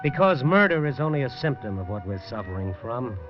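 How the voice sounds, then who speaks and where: An actor playing a world-weary night-beat newspaper reporter, telling his own story in a hard-boiled, wry, low-key way. The voice sits at an ordinary male pitch, heard through a dull, lo-fi 1950s radio recording with faint music underneath. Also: sing-song, fairly quick, close to an everyday voice. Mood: reflective. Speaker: first-person narrator and lead. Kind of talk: 1950s radio drama narration.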